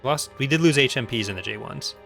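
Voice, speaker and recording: Bright-voiced man doing wry game commentary. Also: mid-range voice, lively intonation, fast talker, gravelly, faint music behind. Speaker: gaming video host. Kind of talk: casual, rambling playthrough commentary.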